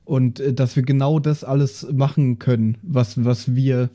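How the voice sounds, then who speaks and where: Mellow man chatting at leisure. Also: mid-range voice, fairly even intonation. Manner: halting, discursive. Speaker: podcast player. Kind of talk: casual chat.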